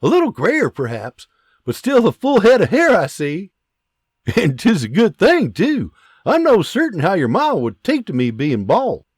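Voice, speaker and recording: A man voicing a warm, joking farmer father in an audiobook, with a hearty teasing manner. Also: sits high, wildly swooping pitch, unhurried, husky, no room sound, clean studio sound, pitched above the performer's own voice, exaggerated voice.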